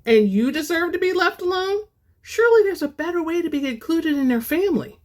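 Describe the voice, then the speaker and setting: A woman's voice: extremely high pitch, expressive, a little fast, a little mellow, voice pushed up. A woman voicing a scheming, scornful companion in a dramatic story reading, scoffing, coaxing and impatient. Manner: scoffing, cajoling, demanding.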